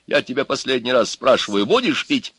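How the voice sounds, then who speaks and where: A blustering, pushy drinking companion, put on by a male reader, loud and insistent, his voice pitched up and swinging wide. He sounds bright and forceful in a dry, close recording. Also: big pitch swings, breathy edge, no room sound. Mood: angry. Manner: loud, insistent, quick to curse.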